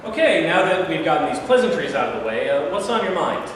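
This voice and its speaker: A man's voice: on the high side, very animated, fast talker. A man in a staged reading playing a humble, uneasy newly sworn American president. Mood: brisk, questioning. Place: large room at a live staged reading. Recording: very echoey hall, distant hiss.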